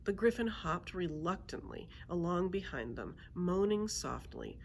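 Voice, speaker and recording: A woman's voice: lowish voice, lively intonation, a little slow, a little hiss or hum. A woman narrating a children's book aloud as a librarian storyteller.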